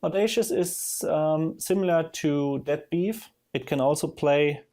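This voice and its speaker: A man narrating a casual software screen demo in a halting way, thinking aloud as he goes. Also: fairly high pitch. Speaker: software demonstrator. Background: music from audio players being demonstrated.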